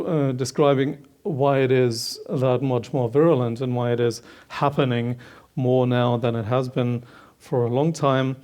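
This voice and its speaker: A man in his forties with a Bavarian German accent, moderating a panel on a conference hall stage, pausing often with ums. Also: medium pitch, mellow. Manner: hesitant, many ums, long introductions.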